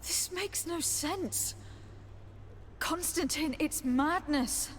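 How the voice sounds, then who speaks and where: An actress playing a resolute young noblewoman diplomat in a video game, speaking in a measured, earnest and formal way. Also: high-pitched, a little hiss or hum. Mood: distraught, pleading. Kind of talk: video game cutscene acting.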